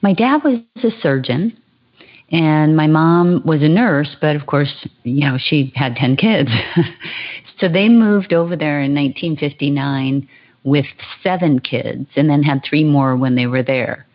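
A woman's voice: low voice, a little slow, highs cut off. A woman from Hawaii, a podcast guest telling stories about her childhood and laughing easily. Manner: warm storytelling, laughs easily. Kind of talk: podcast interview.

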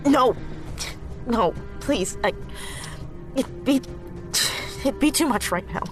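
An actress playing a distressed, apologetic young activist in an audio drama, speaking hesitantly. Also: female voice, high-pitched, expressive, slow, music in the background. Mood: distraught. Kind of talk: naturalistic audio drama acting.

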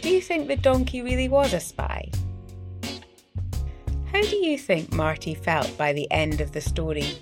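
A woman reading a story for young children in an expressive, deliberate storytelling manner, as the host of a story series. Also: fairly low pitch, music in the background.

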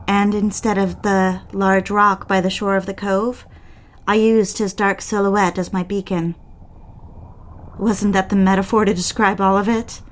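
An actress playing an adoring, playful newlywed wife in a romantic audio drama, with tender, coy, rather formal delivery. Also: female voice, mid-range voice, a little hiss or hum, close to an everyday voice. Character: adoring, teasing young newlywed wife on honeymoon. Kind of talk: romantic audio drama dialogue and first-person narration. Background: music.

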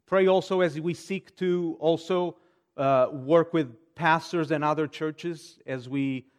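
A man in his forties speaks English with a Portuguese accent, his voice pitched a little high. He addresses a congregation as a pastor, in measured, earnest phrases. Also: fairly even intonation. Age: 40s. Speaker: pastor and seminary teacher. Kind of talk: church address to a congregation, giving updates and prayer requests.

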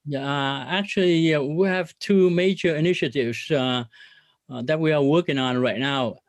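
A man's voice: fairly high pitch, dry recording. A middle-aged businessman from Vietnam speaks as a podcast guest. He talks earnestly, often stopping on fillers, and his voice sounds dry and close with no room around it. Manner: earnest, many filler pauses, religious phrasing. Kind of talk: podcast interview.

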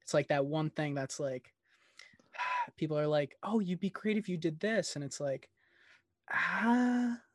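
A man's voice: fairly high pitch, huge pitch swings, a little slow, no echo, clean studio sound. A man hosting a casual interview, rambling and encouraging with plenty of filler words. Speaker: interviewer host.

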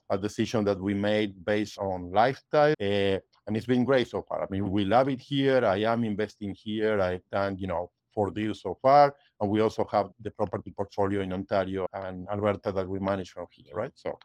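Man, 40s, low pitch, dry sound, podcast guest, conversational. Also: moderate pace, few pauses, darkish tone, dry recording. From Argentina. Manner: conversational, frequent "you know" and "right?" tags. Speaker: guest, realtor. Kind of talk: podcast interview.

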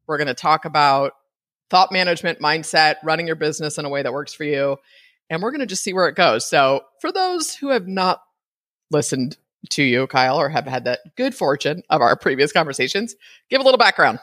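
A woman's voice: deep voice, sing-song, fairly quick, a bit bright. A middle-aged woman hosting a podcast, chatting casually with frequent laughs and asides. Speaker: podcast host.

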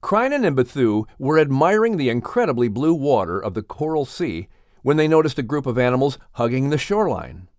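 Animated man narrating a children's audiobook. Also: medium pitch, brisk, no room sound, clean studio sound. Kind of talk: expressive children's story narration.

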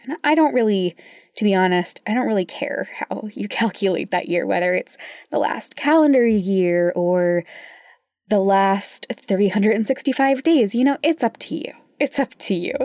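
A woman podcast host speaking softly and informally to listeners in intimate guided reflection, sometimes dropping toward a whisper. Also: mid-range voice, no room sound, clean studio sound.

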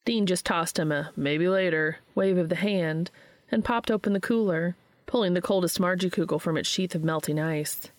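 A woman's voice: fairly low pitch, normal speed, dry recording. A woman hosting and reading fan fiction aloud as scripted narration. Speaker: podcast host and reader.

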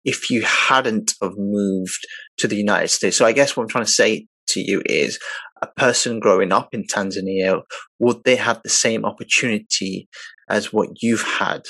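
A man's voice: fairly low pitch, normal speed, a bit bright, no echo. A man asking questions conversationally as the interviewer.

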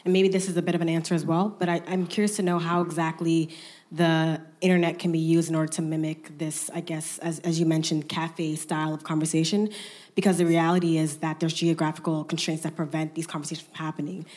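A woman's voice: low-pitched, flat delivery, quick pace, darkish tone. A woman from the audience asking an articulate, earnest question of a panel. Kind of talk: question from the floor.

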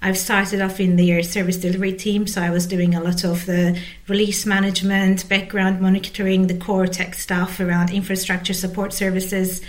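A woman's voice: medium pitch, little pitch movement, moderate pace. Woman in her forties, interview guest. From Turkey. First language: Turkish. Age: 40s. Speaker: interview guest, technology executive. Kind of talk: conversational interview about career.

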